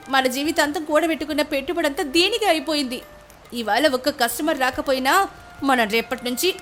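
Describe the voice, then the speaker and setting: High-pitched, fretful village wife played by a woman. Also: a bit bright, slight background noise. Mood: worried. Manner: plaintive, fretful, questioning. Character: anxious, tearful wife of poor food seller. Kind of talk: dramatized moral story voice acting.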